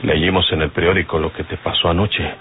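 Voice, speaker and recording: An actor with a northern Mexican accent playing a firm, protective rural bandit boss in an old radio serial, with folksy, commanding speech. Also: male voice, sits low, flat delivery, a little fast, lightly acted. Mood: grave, firm. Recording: muffled, noisy old radio serial recording.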